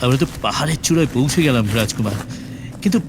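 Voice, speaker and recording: A man's voice: mid-range voice, expressive, fast, vocal fry, noisy background. A man playing a commanding, protective fairy-tale king and father in an audio drama, stately and emphatic, often repeating his opening words. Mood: thoughtful. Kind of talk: Bengali audio drama acting. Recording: long reverb, music behind.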